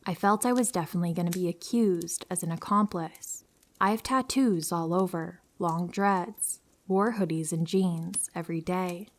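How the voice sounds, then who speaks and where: A woman with a fairly low voice reads true horror stories aloud. Her delivery is calm and even, the way a narrator tells a story meant for sleep. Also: normal speed.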